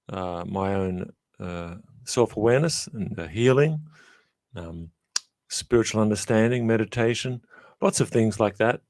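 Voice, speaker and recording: A middle-aged man from Australia, a professor giving measured, reflective interview answers full of small pauses and fillers. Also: lowish voice, darkish tone, no echo, clean studio sound. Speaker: guest, professor.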